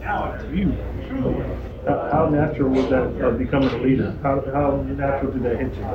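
A man's voice: medium pitch, normal speed, echoey room, distant mic, lo-fi sound, very noisy. A man, a sports reporter, asking players short, direct questions.

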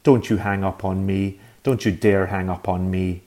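A man's voice: deep voice, flat delivery, moderate pace, warm tone. A man voicing a bitter, drunken mother who hisses insults down a phone line. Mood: venomous. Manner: hissing, venomous.

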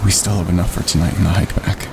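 A man playing a steady, laconic wildlife photographer on a winter camping trip, understated and calm, in a naturalistic audio drama. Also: low voice, fast talker. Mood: concerned. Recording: clear outdoor ambience noise behind.

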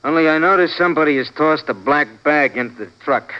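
A man's voice: fairly high pitch, animated, brisk, natural voice. A man acting an edgy, cornered young hoodlum with curt street slang in a 1950s radio crime drama. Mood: anxious, confessing. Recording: dull vintage radio broadcast recording.

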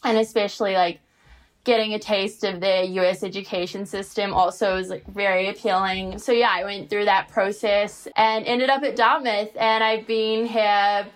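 A woman's voice: mid-range voice, normal speed, rough recording. Chatty young New woman. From New Zealand. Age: 20s. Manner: relaxed, many fillers and likes. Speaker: college tennis player guest. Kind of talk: casual interview answers.

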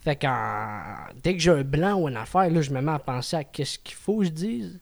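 Young male podcast host, Quebec French, raised pitch, halting and filler-heavy. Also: animated, vocal fry. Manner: hesitant, fills every pause with "tu sais". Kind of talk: casual chat.

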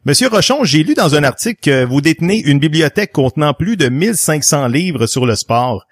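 A man's voice: fairly high pitch, very animated, a little fast, no room sound, studio quality. A man hosting a casual podcast, upbeat and jokey. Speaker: podcast host.

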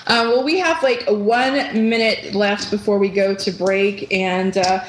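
A woman's voice: fairly even intonation, unhurried. Chatty woman radio host in her forties. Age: 40s. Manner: chatty, laughs often, swoops up in pitch when joking. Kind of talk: casual chat. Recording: echoey room with faint noise.

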